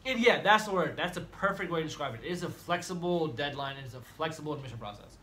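A man's voice: fairly high pitch, expressive, fast, pushed and bright, a little hiss or hum. A man, chatting casually and a bit slangy in a small dorm room, laughing easily. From United States. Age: 20s. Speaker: medical student guest. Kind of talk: casual chat.

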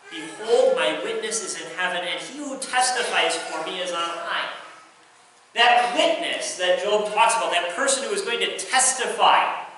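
A man's voice: sits high, sharp tone, a little hiss or hum. A young man preaching a sermon from a church pulpit, earnest and repetitive in his emphasis. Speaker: pastor. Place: large church sanctuary. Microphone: pulpit microphone, filmed from far below. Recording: very echoey church from far off.

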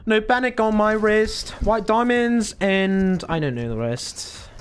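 A man putting on a British accent and chatting excitedly with a teammate over a game, saying mate all the time. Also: sits high, slow pace, slight background noise. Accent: put-on British. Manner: exaggerated British slang, says "mate" constantly. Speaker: gamer, video creator. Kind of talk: casual excitable gameplay chat with teammate.

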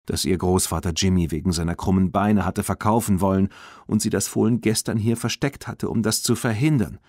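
A man reading a children's audiobook as narrator, in an expressive storytelling style. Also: sits low, fairly quick, no echo, studio quality.

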